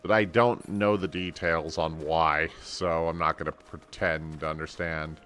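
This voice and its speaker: Low-voiced man streaming a game. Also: little pitch movement, unhurried, a bit bright, faint music behind. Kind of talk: casual game commentary.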